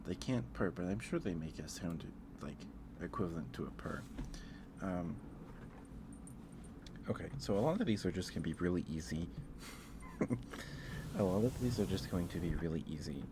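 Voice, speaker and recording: A man's voice: sits low, animated, moderate pace, pauses often, noisy background. A man hosting a casual ASMR livestream, chatting with viewers in a hesitant, rambling way that often restarts mid-thought. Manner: chatting back to live viewers. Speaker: livestream host.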